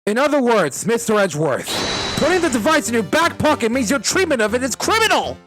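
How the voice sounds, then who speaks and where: A cocky, earnest video game defense lawyer voiced by a solo fan dubber, swinging widely in pitch as he declaims his points. His delivery is emphatic and dramatic, over music. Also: sing-song, fairly quick, close to an everyday voice. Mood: triumphant. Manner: emphatic, declamatory, shouts accusations. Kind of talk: fan dub courtroom comedy, dramatic video game acting.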